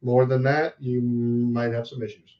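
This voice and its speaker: A man's voice: mid-range voice, little pitch movement, measured pace, muffled recording. A man co-hosting a casual live chat, laid-back and chiming in with short remarks.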